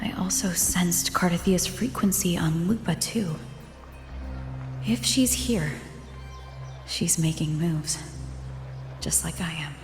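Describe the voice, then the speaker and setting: An actress voicing a composed, analytical and guarded heroine in a video game. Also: female voice, on the low side, slow pace, long pauses. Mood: pensive, resolute. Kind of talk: video game voice acting, inner monologue and dialogue. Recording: echoey long reverb, music behind. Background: game music.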